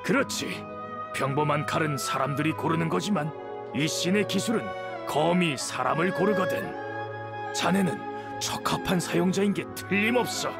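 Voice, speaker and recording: Elderly master craftsman for a game: gravelly creak, slightly high, patient and kindly elder phrasing. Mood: gentle, reflective. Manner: measured, old-fashioned elder speech. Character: elderly male master swordsmith, patient, kindly, worried for nephew. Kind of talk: video game voice acting. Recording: clear background music.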